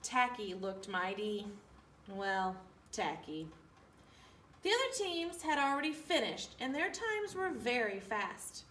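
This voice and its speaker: Woman, high lively voice, slow singsong children's read-aloud, echoey room recorded from a distance. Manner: animated, deliberate, singsong delivery. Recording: roomy, distant mic, faint room noise.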